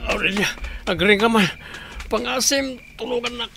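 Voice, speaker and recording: A man's voice: extremely high pitch, normal speed, vocal fry, over music. A man acting a hard-drinking, pleading village husband in an Ilocano radio drama, with background noise. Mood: pained, urgent.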